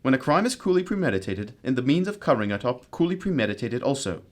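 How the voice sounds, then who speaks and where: A composed gentleman detective from a radio mystery, acted by a man. The voice is mid-pitched, with deliberate, courteous authority and an analytical turn. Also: sing-song, lightly acted. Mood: assured, deductive. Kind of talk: radio drama acting.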